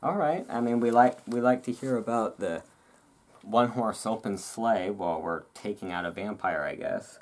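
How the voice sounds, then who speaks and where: A host reading aloud in a relaxed ASMR style, with casual, musing asides. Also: medium pitch, normal speed. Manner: rambling, musing asides between read passages.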